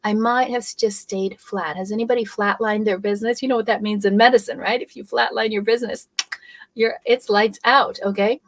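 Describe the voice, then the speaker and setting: A woman from Europe whose native language is German, giving an energetic, persuasive motivational business talk and often checking in with "okay?". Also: mid-range voice. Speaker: business coach.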